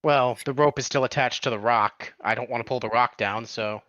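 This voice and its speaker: A male player in a casual tabletop game chat, calmly explaining his character's moves. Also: medium pitch, fairly quick, no echo, dull audio.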